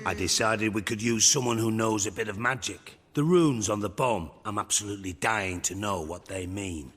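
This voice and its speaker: A sarcastic, urbane schemer in a fantasy game. The voice is low, sharp and somewhat husky. He wraps crude remarks in florid, mock-polite phrases, with long reverb around him. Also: normal speed. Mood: wry, mocking. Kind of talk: video game acting.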